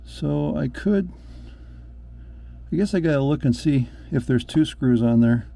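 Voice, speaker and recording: A man's voice: fairly high pitch, lively intonation, unhurried, gravelly, mellow. A man thinking aloud as he works through a boat repair, in casual DIY narration. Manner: thinking aloud while working. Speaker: boat owner doing a repair. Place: on a small boat.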